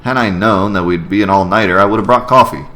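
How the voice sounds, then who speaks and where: A man voicing a weary, wry professional in a story video game, speaking in a dry, deliberate manner. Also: low-pitched, sharp tone. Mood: weary, frustrated. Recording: music behind the voice.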